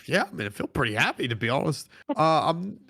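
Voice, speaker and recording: A man's voice: on the high side, huge pitch swings, moderate pace, gravelly, a bit bright, husky, no room sound. A man co-hosting a casual podcast, talking hesitantly with laughs and trailing fillers. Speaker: podcast co-host. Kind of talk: casual chat.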